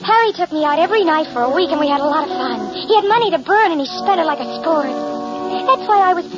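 A woman's voice: high-pitched, expressive, fast talker. An actress playing a girlish, coy American killer who feigns innocence in an old-time radio drama. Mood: wistful, breezy. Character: girlish, falsely innocent cold-blooded female killer. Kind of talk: 1940s-50s radio drama acting, confessional narration. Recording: muffled old radio recording. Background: music.

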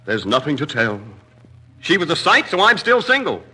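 A man's voice: fairly high pitch, wildly swooping pitch, moderate pace, over loud music. A man trading dry, pompous wisecracks as announcer on a 1940s radio comedy show. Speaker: announcer and comic foil. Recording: noisy, crackly old radio recording.

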